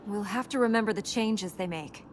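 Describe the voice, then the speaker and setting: A woman playing an earnest, dutiful young princess in a video game, matter-of-fact with a dry, gently teasing wit. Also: medium pitch, moderate pace, lightly acted. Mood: explanatory. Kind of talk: video game dialogue acting. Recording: noisy game ambience behind voice.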